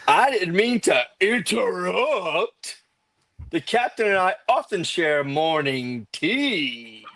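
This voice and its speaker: A woman's voice: fairly low pitch, big pitch swings, slow pace, a bit bright, no echo, heavily acted. A woman playing a polite, slightly jealous female starship doctor in a live script table read. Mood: politely probing.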